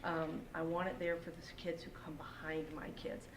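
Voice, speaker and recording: A woman's voice: deep voice, flat delivery, normal speed. A middle-aged woman from Texas with a Texan accent, giving an earnest public comment as a parent at a school board meeting. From Texas, United States. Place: indoor public meeting room. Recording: echoey, noisy meeting room, distant microphone.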